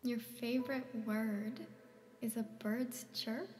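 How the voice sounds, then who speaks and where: A woman playing an intense, searching woman in a stage drama, often breathy and near a whisper, in an echoey space. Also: a little high, fairly even intonation, takes it slowly, a little mellow. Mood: intimate, wistful. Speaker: actor in a stage play reading. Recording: echoey hall reverb.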